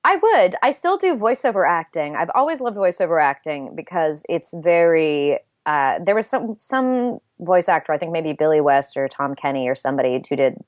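A young adult woman guest talking thoughtfully in an interview, with a soft, soothing voice and frequent restarts. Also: deep voice, expressive, normal speed, few pauses, dry recording, clean studio sound. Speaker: interview guest, writer and voice actor. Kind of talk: casual interview chat.

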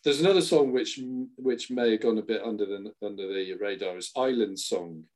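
A man's voice: fairly low pitch, moderate pace, darkish tone. A man co-hosting a podcast, talking casually and enthusiastically about tracks, with frequent restarts. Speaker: podcast co-host. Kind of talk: casual chat.

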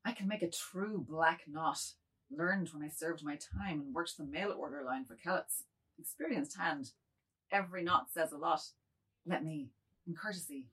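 Low, husky woman as a meek aging man. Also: normal speed, a bit bright, poor audio. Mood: courteous. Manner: florid, meek, rambling. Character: submissive, wordy, fretful aging man. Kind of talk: dramatised literary reading.

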